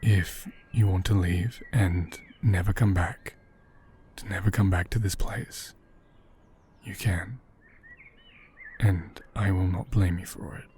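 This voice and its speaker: A man acting as a gentle, protective male caretaker in an ASMR roleplay. His voice is low and mellow, breathy and often whispered. He speaks very slowly, with tender, soothing reassurance. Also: steady tone, slight background noise. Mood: tender, solemn. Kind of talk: soft-spoken ASMR roleplay, partly whispered.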